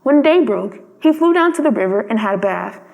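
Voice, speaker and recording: A woman narrates a storybook in a high voice. She reads from a script as a storyteller. The recording carries a long reverb. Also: expressive, a little fast, gravelly, a little mellow.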